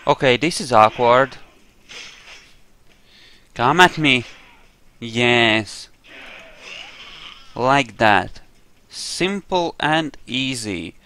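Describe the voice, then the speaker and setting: A man with a mid-pitched voice commentates on a video game he is playing. He talks slowly, rambling through his choices as he makes them. His delivery is casual, with dry, wry humor. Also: lively intonation, pushed and bright, a little hiss or hum. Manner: rambling, thinking aloud. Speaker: gamer narrating a roleplay playthrough.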